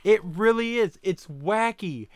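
A man's voice: sits high, animated, unhurried, no room sound, studio quality. A young man co-hosting a casual podcast chat, often restarting his sentences. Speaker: podcast co-host.

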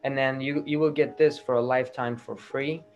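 A man giving a reassuring, persuasive sales pitch as a marketing agency salesman on a recorded call. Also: fairly even intonation, moderate pace. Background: music.

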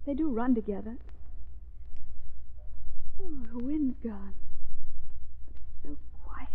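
An actress playing a brave but nervous young woman in a 1930s radio drama, with halting, theatrical delivery. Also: on the high side, breathy edge, full character voice. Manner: theatrical, halting, hushed dread. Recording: muffled, noisy old radio recording.

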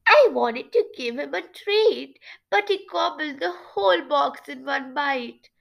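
A woman's voice: high voice, animated, slow pace, creaky, a bit bright, voice pushed up, heavily acted. A woman voicing an eager young niece in a storybook, with a nasal, piping, earnest voice.

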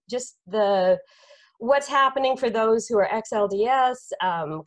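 A woman hosting an informal online discussion, explaining things in a conversational way like a counselor and lecturer. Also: a little high. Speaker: interview moderator, counselor and lecturer. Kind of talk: informal online panel introduction.

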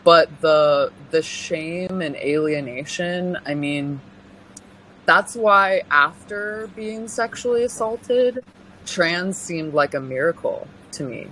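A young woman from California with a deep voice, giving personal testimony as an interview guest in an earnest, halting way, full of pauses and restarts. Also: a bit bright, slight background noise, rough recording.

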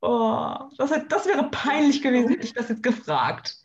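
A teenage woman talking from her apartment in a casual chat, with dry, deadpan humor. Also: on the high side, moderate pace, lo-fi sound. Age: teens.

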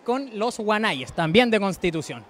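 A man speaks in a high-pitched voice, giving short interview answers. There is faint urban noise behind him. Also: sing-song, normal speed, fairly bright tone. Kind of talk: brief live interview answers.

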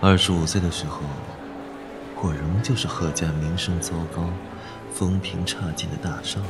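Scheming young heir, very low voice, cool and sardonic, radio drama with music under it. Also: male voice, steady tone, moderate pace. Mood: detached, brooding. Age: 20s. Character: cynical, guarded young heir, coolly scheming. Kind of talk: Chinese audio drama acting with inner monologue.

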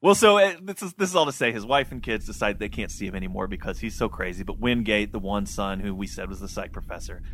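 A man with a fairly low voice in casual, rambling podcast conversation. He is candid and pokes fun at himself as he talks. There is noticeable noise behind him. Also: animated, fast talker, few pauses, a bit bright. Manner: candid, self-deprecating, rambling. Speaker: podcast co-host. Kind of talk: casual book discussion chat.

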